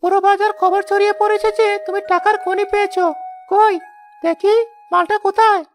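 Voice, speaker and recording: An actor playing a greedy, threatening thug in a cartoon tale, with a demanding, menacing manner. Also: male voice, extremely high pitch, little pitch movement, moderate pace, music in the background. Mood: prying. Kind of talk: Bengali cartoon villain acting.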